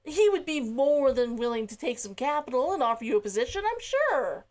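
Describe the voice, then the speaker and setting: A woman voicing a gentle, earnest young man who calmly reasons with someone in a storybook read-along. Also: very high-pitched, fairly quick. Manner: calm, reasoning delivery.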